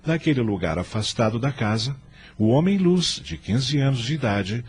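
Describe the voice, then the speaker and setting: A man's voice: medium pitch, animated, normal speed, a little mellow, husky. A man with a Brazilian accent giving solemn, literary narration for a radio drama. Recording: music bed under narration.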